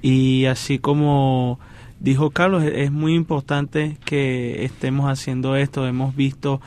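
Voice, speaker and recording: Flat-toned earnest man from Venezuela. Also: mid-range voice, unhurried. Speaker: guest, student.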